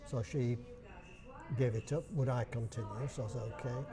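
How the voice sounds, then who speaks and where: A man's voice: mid-range voice, fairly even intonation, moderate pace, over loud music. An older man from Bradford with a Yorkshire accent, chatting and explaining in an interview. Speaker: dialect society chairman, guest. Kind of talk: casual interview.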